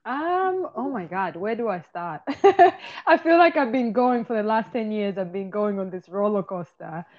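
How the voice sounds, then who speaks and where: A lively woman from Tanzania tells her story in long, run-on stretches, and she laughs easily between them. Her voice sits at an ordinary middle height. It comes through a slightly muffled, lo-fi video call from her apartment. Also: mid-range voice, moderate pace. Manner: animated, laughs often, talks in long run-on stories. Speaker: guest, tour business owner. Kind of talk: casual interview chat. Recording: slightly dull, lo-fi call audio.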